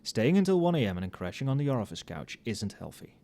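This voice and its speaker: A man playing a tipsy, friendly professor in a casual ASMR roleplay, speaking softly and muttering as if to a colleague. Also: mid-range voice, very animated, quick pace. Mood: caring, insistent. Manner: soft-spoken, muttering. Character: tipsy, friendly, conscientious male magic-university professor working late.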